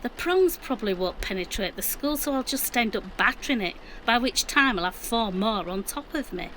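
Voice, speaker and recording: A woman's voice: on the high side, expressive, moderate pace, bright, forceful tone, a little hiss or hum, low-quality recording. A woman playing a plucky, wry, middle-aged British mum in an audio drama, with a colloquial, dry-humoured delivery. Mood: wry, practical. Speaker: actor.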